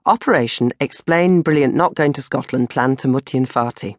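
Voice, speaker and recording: Woman, low-pitched, comic diary audiobook, dramatic exasperation, very dry close sound. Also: brisk, dry recording. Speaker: audiobook narrator reading her own novel. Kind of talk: comic first-person diary narration.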